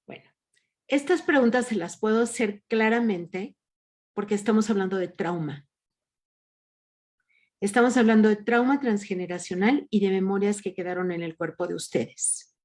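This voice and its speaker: A woman's voice: mid-range voice, a little mellow. A woman leading an online workshop, patiently asking her group questions over a video call. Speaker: workshop leader.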